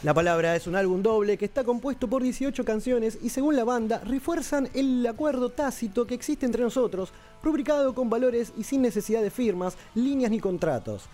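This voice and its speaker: A man hosting a radio show, reading music news in an Argentine Spanish accent with a steady announcer delivery. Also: very high-pitched, a little fast, few pauses.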